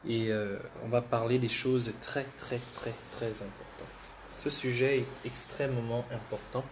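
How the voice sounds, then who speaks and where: A man's voice: medium pitch, steady tone, measured pace, noisy background. A man who has the flu, hosting a political livestream, repeating himself, restarting words and urging viewers to share. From Gabon. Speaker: livestream host.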